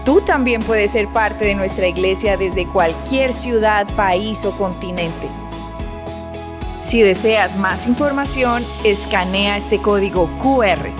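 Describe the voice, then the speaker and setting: A woman reading a scripted announcement for a church program in a smooth, even delivery. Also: mid-range voice, slow, music in the background.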